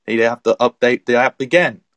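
A man's voice: mid-range voice, brisk, a bit bright, no room sound. A man in his twenties hosting a casual chat on a live audio app, explaining things in an easygoing, rambling way. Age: 20s. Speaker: host.

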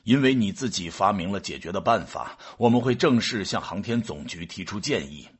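Radio drama chief scientist: male actor, low, husky voice, calm authority, measured official delivery. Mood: official, pleased.

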